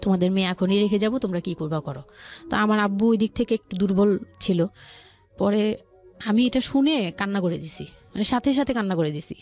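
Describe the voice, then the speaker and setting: A woman's voice: mid-range voice, lively intonation, a little fast, darkish tone. Bangladeshi woman storytelling over music. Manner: quotes relatives' words as she narrates. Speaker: guest telling life story. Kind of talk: personal storytelling in conversation.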